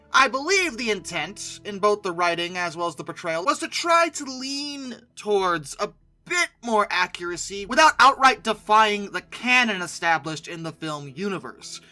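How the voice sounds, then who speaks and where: A woman's voice: medium pitch, bright, forceful tone. A woman host giving casual, opinionated list commentary in an emphatic way, with theatrically exasperated asides. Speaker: host of a paleontology video channel.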